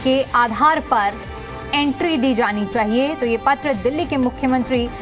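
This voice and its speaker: A woman's voice: sits high, unhurried. A woman news anchor presenting live in a repetitive, explanatory news style. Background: background music.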